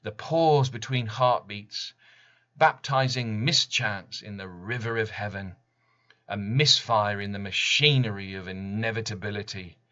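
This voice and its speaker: A man reading his poem as dramatic spoken word, deliberate and intense, with weighty pauses. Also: fairly low pitch, pushed and bright, lo-fi sound. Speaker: poet reading own work.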